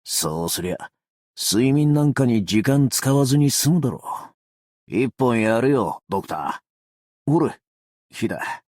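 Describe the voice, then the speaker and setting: Cocky male explosives nut, anime game character, gravelly, wildly animated pitch swings, rough swaggering slang, close dry sound. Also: unhurried, no echo. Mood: cocky, confiding. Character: brash tailed male explosives fanatic, cocky bureau officer. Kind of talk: Japanese anime game voice acting.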